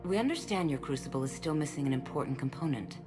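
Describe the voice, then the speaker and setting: Very low-voiced alien stateswoman. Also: expressive. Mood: grave, formal. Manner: formal, measured, diplomatic. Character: dignified, guarded female alien stateswoman. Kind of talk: video game dialogue. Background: music.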